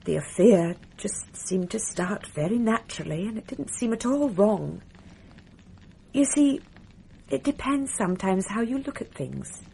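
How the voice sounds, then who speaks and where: An actress playing a married woman in a radio drama who tells a policeman, softly and confidingly, about a past affair. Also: medium pitch, animated, a little slow, creaky, a little hiss or hum, low-quality recording. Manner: soft, confiding, wistful.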